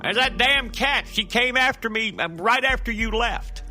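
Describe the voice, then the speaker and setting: A male narrator puts on a paranoid homeless man from an audio drama. He rants in an agitated, rambling way, frantic and high-strung. Music plays behind him. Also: normal speed, sharp tone, heavily acted.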